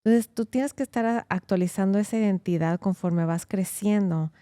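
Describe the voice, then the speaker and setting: Chatty woman host, warm and dry-sounding. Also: medium pitch, moderate pace, clean tone, dry recording. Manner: chatty, encouraging, fillers like "¿no?". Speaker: host, immigration lawyer. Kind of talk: casual interview conversation.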